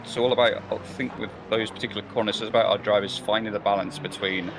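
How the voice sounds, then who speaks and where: A man's voice: on the low side, fairly even intonation, fast talker, background noise. A man commentating a sim race live, rambling and hesitant, with many fillers and restarts. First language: not German or Belgian.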